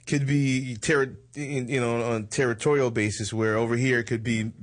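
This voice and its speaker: A man's voice: mid-range voice, fairly even intonation, brisk. A man hosting a talk show in a casual, conversational style.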